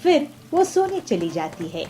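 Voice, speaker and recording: A woman's voice: high-pitched, sing-song, a little slow, mellow. A woman narrating a scripted children's story.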